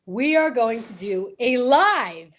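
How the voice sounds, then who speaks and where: A woman co-hosting a live show with excited, casual banter, gushing and theatrical in manner. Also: fairly high pitch, takes it slowly. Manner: theatrical, gushing, sing-song exclamations.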